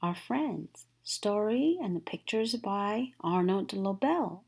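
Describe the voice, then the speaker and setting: A woman's voice: mid-range voice, expressive, takes it slowly, gravelly, a little mellow, breathy edge. A woman narrating a children's story from a book, as a read-aloud. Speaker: storybook reader.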